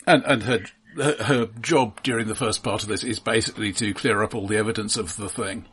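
Husky, wry man critiquing a film. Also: fairly low pitch, steady tone, a little fast, fairly bright tone. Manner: wry, pointed, sardonic. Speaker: film podcast co-host.

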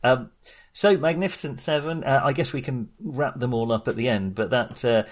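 A man's voice: mid-range voice, normal speed. Man casually chatting about films. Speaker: film podcast host. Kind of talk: casual film discussion.

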